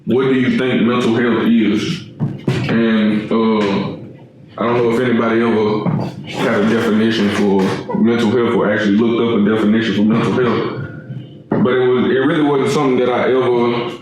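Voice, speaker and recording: A man's voice: mid-range voice, fairly even intonation, normal speed. A man hosting and moderating a casual group discussion in slangy, teasing language. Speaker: panel host and moderator. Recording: very echoey room, clear noise.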